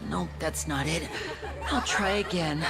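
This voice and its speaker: A woman's voice: sits low, a little slow, creaky. A woman playing a young magician in a video game, chatty and showy, talking like a playful performer. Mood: playful, flustered. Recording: loud music behind.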